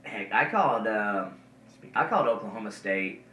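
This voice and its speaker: A man's voice: lowish voice, lively intonation, normal speed, bright, forceful tone, recorded from a distance. A man co-hosting a casual wrestling podcast, rambling with lots of ums and uhs. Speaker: podcast co-host. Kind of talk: casual chat about wrestling. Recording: echoey room, faint noise.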